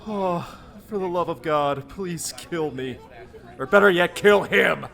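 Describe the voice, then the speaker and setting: A man's voice: high voice, slow pace, creaky, a bit bright, full character voice. A man playing an exasperated, sarcastic skeptic with a short fuse in a comedy web drama. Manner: incredulous, sarcastic.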